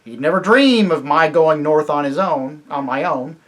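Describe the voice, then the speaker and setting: A man's voice: fairly high pitch, moderate pace. A man voicing a proud, scornful talking warhorse. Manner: emphatic, lively storybook reading.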